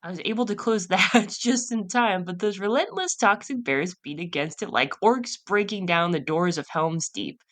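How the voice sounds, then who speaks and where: A woman's voice: medium pitch, moderate pace, few pauses, fairly bright tone. A woman hosting a solo podcast, reading aloud and chatting in a casual, storytelling way. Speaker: podcast host.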